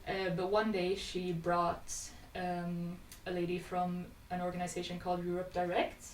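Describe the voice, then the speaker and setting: A woman's voice: lowish voice, completely flat delivery, moderate pace. Young woman in an echoey room. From Sweden. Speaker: podcast guest, youth volunteer. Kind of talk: casual conversational storytelling. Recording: echoey room, distant mic, faint noise.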